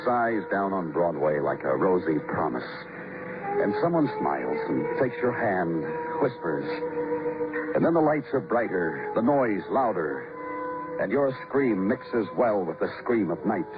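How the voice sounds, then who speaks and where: A man's voice: deep voice, very animated, measured pace. An American man playing a hard-boiled, world-weary police detective in a 1950s noir radio drama, clipped, dramatic and probing. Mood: brooding. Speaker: detective and narrator. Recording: old radio broadcast, narrow band, loud music.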